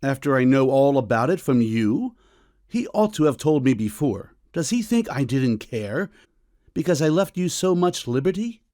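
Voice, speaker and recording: A man playing a stern, middle-aged doctor and father in a period audiobook drama, with a measured, formal, coolly ironic manner. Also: medium pitch, very animated, warm tone, no room sound, studio quality, natural voice. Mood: dry, questioning. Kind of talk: full-cast audiobook period drama acting.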